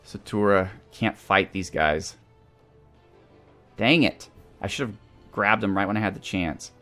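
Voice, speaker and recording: Low-voiced man doing casual game commentary. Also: a little slow, fairly bright tone, faint music behind. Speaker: let's play host. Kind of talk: casual gameplay commentary, thinking aloud.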